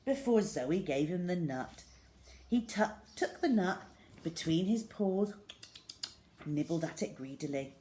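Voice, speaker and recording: A woman reading a children's story aloud as an expressive storyteller. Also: on the low side, measured pace, long pauses, slight background noise.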